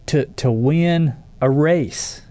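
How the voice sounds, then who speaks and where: Earnest man with a warm voice. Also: a little high, extremely slow. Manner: earnest, emphatic, restarts phrases. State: stuffy with allergies. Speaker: podcast co-host. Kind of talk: conversational faith podcast.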